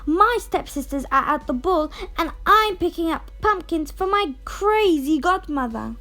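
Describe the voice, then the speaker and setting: A wistful teenage fairy-tale heroine, acted by a female reader. She is polite and gentle, with a high voice and measured delivery over music. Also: expressive, exaggerated voice. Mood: puzzled. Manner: polite, plaintive. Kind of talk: storybook character voice.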